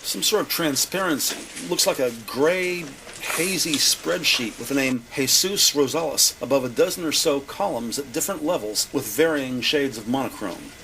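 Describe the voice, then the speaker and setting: A man reading scripted, deliberate and detailed narration for an audio-described police drama. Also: medium pitch, vocal fry, background noise.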